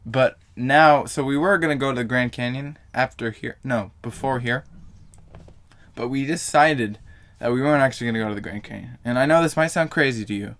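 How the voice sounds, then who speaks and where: A man's voice: mid-range voice, brisk, a bit bright. A young man vlogging to camera in a casual, rambling way, full of fillers and self-corrections.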